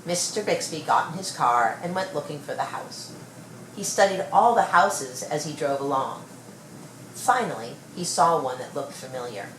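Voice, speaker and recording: A woman reading a children's story aloud with expressive, measured storytelling. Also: low-pitched. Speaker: storybook reader. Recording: roomy, distant mic, faint noise.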